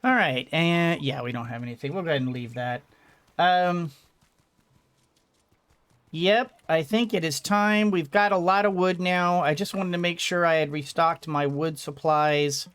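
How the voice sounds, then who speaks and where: A streamer commentates casually over a game in a middling pitch. The delivery rambles, thinking aloud as play goes on. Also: a little slow, a bit bright, clear, resonant tone. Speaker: gaming streamer.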